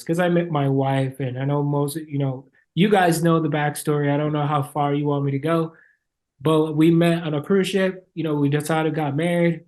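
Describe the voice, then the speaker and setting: A man's voice: on the high side, darkish tone. Jamaican man telling his story. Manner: earnest, many "you know" fillers. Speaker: podcast guest. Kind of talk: casual interview storytelling.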